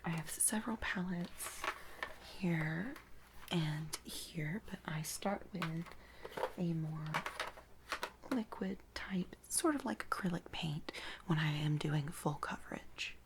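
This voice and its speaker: A woman doing a soft-spoken ASMR roleplay as a makeup artist, breathy and whispered, giving gentle, reassuring instructions. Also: low voice, takes it slowly, background noise.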